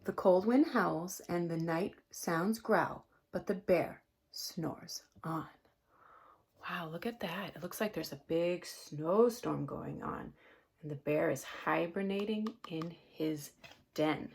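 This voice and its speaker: A woman reading a picture book aloud to children, expressive, with gasps and chatty asides. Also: lowish voice, takes it slowly, vocal fry, husky. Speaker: storybook reader.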